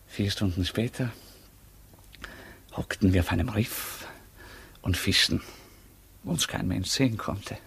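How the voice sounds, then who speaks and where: An actor playing a boastful prisoner in a 1950s radio drama, spinning tall tales with dramatic, hesitant pauses. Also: male voice, lowish voice, vocal fry, fairly bright tone, slightly rough, full character voice. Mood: quiet, reminiscing.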